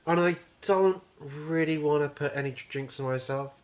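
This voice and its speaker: A man's voice: a little high, moderate pace, over a noisy crowd. Rambling man in his twenties. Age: 20s. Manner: rambling, swears casually. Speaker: podcast co-host. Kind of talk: casual chat.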